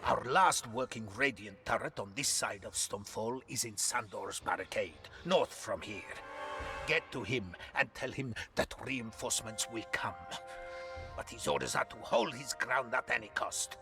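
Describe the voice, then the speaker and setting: Blunt gravelly battle commander for a fantasy game, high strained voice, music playing behind him. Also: male voice, lively intonation, measured pace. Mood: gruff, businesslike. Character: gruff battle-hardened army commander rallying frightened conscripts. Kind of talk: video game voice acting. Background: dramatic game music.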